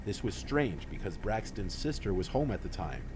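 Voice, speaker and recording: A man reading a scripted scary story in a measured, serious manner, as a narrator. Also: lowish voice, sing-song, background noise.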